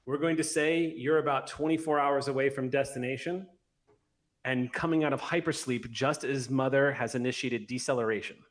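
A middle-aged man with an average-pitched voice narrates a tabletop roleplay game as its game master. He speaks close to the mic and now and then corrects himself as he reads. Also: fairly even intonation, normal speed. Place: indoor room, on a group video call. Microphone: microphone on an arm, close to mouth.

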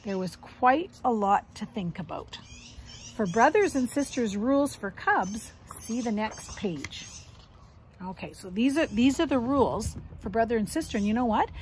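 Animated higher-voiced woman reading a storybook. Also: normal speed, a little hiss or hum. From Canada. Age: middle-aged. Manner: animated, instructive, addressing young children. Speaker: grandmother reading picture books to grandchildren. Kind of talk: expressive children's storybook read-aloud. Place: outdoors on a wooden deck among trees.

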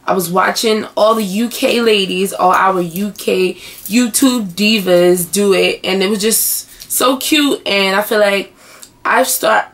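Young woman on her webcam, hoarse and gravelly, chatty, small bedroom. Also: medium pitch, takes it slowly. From United States. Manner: chatty, animated hand gestures. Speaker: vlogger. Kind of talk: casual chat.

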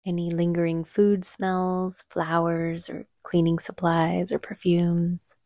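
A woman leading a guided meditation in a soothing, gentle, unhurried manner, with soft breathy phrase endings. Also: lowish voice, completely flat delivery, dry recording, studio quality. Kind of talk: calm guided meditation narration.

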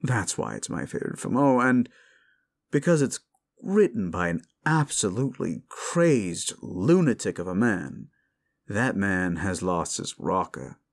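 Male actor as a mocking demon for audio roleplay: low, creaky, sarcastic and gleefully cruel. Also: sing-song, unhurried, heavily acted. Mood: scornful amusement. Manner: sarcastic, taunting, drawling. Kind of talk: intimate audio roleplay addressed to the listener.

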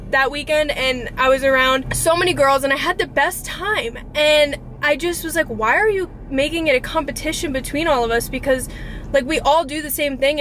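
A woman's voice: sits high, fairly bright tone. A woman in her twenties chatting casually and earnestly to camera as a vlogger, informal and mildly profane, recorded inside a car. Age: 20s. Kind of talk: casual confessional chat to camera. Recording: car interior with clear background noise.

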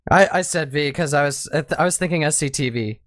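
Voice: mid-range voice, quick pace, no echo, studio quality. A podcast host who rambles through casual chat and laughs often.

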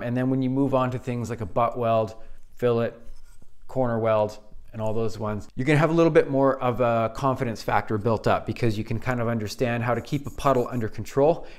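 Chill guy in his 30s walking thru welding basics in his little shop, super casual. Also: mid-range voice. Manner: laid-back, chatty, says "pretty simple" a lot. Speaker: welding instructor. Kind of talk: casual workshop tutorial. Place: small home welding shop, indoors.

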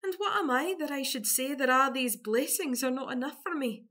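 Woman acting a high-voiced sweet Scottish country lass. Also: lively intonation, moderate pace, sharp tone. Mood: tender, earnest. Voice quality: broad rustic dialect. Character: pretty young country lass, sweet, modest, coy. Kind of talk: farcical radio play acting.

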